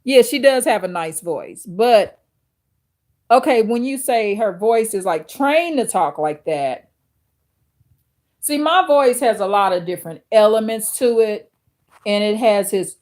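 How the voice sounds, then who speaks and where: A woman with a deep, authoritative voice hosting a livestream, reading viewer chat aloud and answering slowly with many fillers and restarts. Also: animated, darkish tone. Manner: addresses viewers directly. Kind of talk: casual chat with live viewers.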